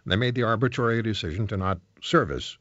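A man's voice: fairly low pitch, quick pace, fairly bright tone, dry recording. A man from Canada hosting a live radio interview, probing in a conversational way. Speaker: radio host. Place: radio studio. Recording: slightly muffled, dry radio studio sound.

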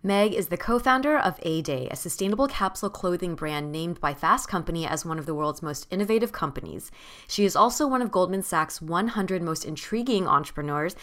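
Low-voiced woman host reading an intro. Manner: bright, formal, scripted delivery. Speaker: podcast co-host.

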